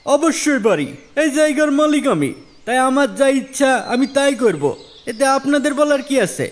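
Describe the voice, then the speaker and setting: A man's voice: very high voice, sing-song, normal speed. A man voicing a stubborn, selfish village landowner in a moral cartoon, arguing dismissively. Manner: argumentative, dismissive. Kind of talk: Islamic moral cartoon dialogue.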